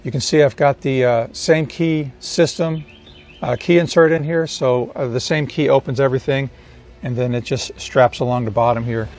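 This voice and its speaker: A man's voice: mid-range voice, normal speed. Middle-aged man explaining gear outdoors. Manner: relaxed and explanatory, with frequent fillers and restarts. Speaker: product reviewer. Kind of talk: casual product review to camera. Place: outdoors beside a car in a yard.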